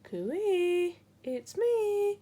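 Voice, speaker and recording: Storybook little fish, male, cheerful and excitable, high exclaiming calls acted by a woman reader. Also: very slow, full character voice. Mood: cheerful calling. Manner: calling out, exclaiming. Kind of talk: children's storybook character reading.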